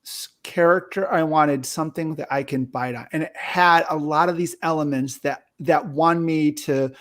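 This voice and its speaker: A man, likely middle-aged, hosting a casual movie discussion, talking haltingly and often rephrasing himself. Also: a little high, fairly even intonation. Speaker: host of a movie review show.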